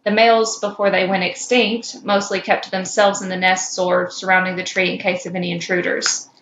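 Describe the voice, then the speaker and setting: A woman reading a somber, measured first-person story as a small, cute wild creature who recalls its cursed kind. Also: fairly low pitch, level tone, a bit bright. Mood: grim, measured. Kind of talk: scripted first-person fan fiction reading. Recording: muffled, roomy, noisy home recording.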